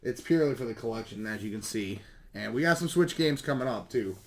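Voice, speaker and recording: A man with a slightly hoarse voice chats casually and ramblingly as a video host showing off recent pickups. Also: mid-range voice, expressive, fairly quick. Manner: rambling, self-correcting, mild swearing. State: slightly hoarse, losing his voice. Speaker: YouTube video game collecting host.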